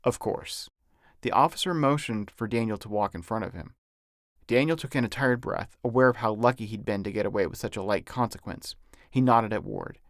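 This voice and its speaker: A man's voice: fairly low pitch, brisk, no echo, clean studio sound. A man, an author, reading his own novel as scripted audiobook narration.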